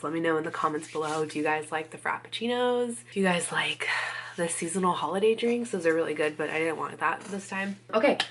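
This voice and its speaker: A woman's voice: fairly low pitch, a bit bright, a little hiss or hum. Chatty woman, still a bit stuffy from a cold. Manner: chatty, rambling, lots of "like" and "you guys". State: recovering from a cold, a bit congested. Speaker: beauty vlogger. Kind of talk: casual get-ready-with-me chat.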